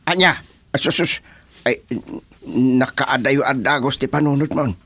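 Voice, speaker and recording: A man playing a steady friend who gives advice in a radio drama, deliberate and mildly exasperated. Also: mid-range voice, husky, dry recording.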